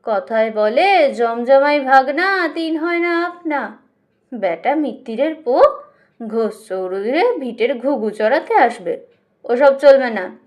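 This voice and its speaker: A woman narrator plays a stubborn younger brother-in-law in an audiobook tale. He is scornful and blunt, brushing others off in a high-pitched voice. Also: lively intonation, takes it slowly. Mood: mocking. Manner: mocking, blunt, dismissive.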